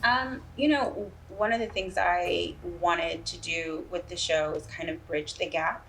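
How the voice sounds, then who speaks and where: Low-voiced woman in conversational interview. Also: steady tone, unhurried, creaky, fairly bright tone, low-quality recording. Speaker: playwright and guest. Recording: faint background room noise.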